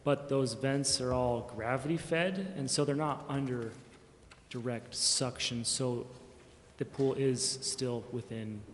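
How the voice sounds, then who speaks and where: A man reporting to a council as a staff member, explaining things informally and haltingly. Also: mid-range voice. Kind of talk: informal explanation at a public meeting. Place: large indoor council chamber. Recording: echoey hall, faint background noise.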